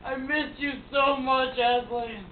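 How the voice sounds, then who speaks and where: A woman's voice: high-pitched, fairly even intonation, slow. A woman voicing an earnest, yearning young girl in a homemade puppet show. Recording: tinny, echoey, noisy recording from far off.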